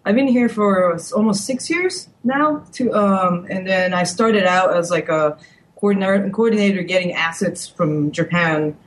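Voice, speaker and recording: A woman, a guest editor, chatting casually and chiming in with quick remarks. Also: lowish voice, a little hiss or hum, rough recording. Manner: quick interjections, laughing along. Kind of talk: casual chat.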